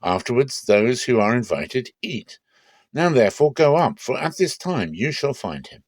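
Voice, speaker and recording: A man's voice: medium pitch, sing-song, normal speed, darkish tone, no echo, voice pushed up. A man voicing eager young maidens who give directions urgently in a dramatic scripture reading.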